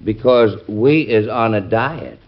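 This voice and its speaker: Very deep, deferential manservant. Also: male voice, lively intonation, slow pace. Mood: meek. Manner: deferential, hesitant. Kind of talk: old radio comedy-drama acting. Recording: dull, distant vintage radio recording.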